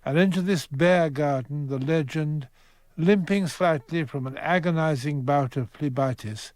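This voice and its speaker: A male narrator of a radio drama performed by an actor, setting the scene with measured, literary poise. His voice is a little high for a man and recorded very dry, with no sense of room. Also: darkish tone, dry recording, lightly acted. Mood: poised, observant.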